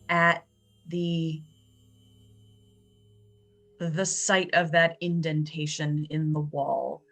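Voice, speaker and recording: A woman playing a tabletop roleplay game, improvising third-person story narration in measured, dramatic short phrases. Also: low voice.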